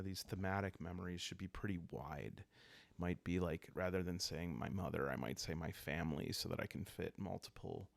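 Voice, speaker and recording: A man with a low voice full of creaky fry talks softly and calmly, as if helping listeners drift off. He hosts a solo game walkthrough, pausing often as he thinks aloud. The sound is close and dry. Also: no room sound. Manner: calm, soft-spoken, hesitant pauses while thinking aloud. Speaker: host of a solo roleplaying game series. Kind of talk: calm, rambling sleep-aid game walkthrough.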